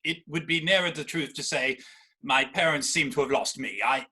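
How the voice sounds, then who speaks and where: A man acting a bright-voiced, earnest young gentleman. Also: a little high, moderate pace, breathy edge, exaggerated voice. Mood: awkward, confessing. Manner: polite, hesitant, well-spoken, deferential. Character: earnest, polite young Victorian gentleman suitor, aged twenty-nine. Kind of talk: theatrical comedy of manners reading. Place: virtual performance from home in isolation.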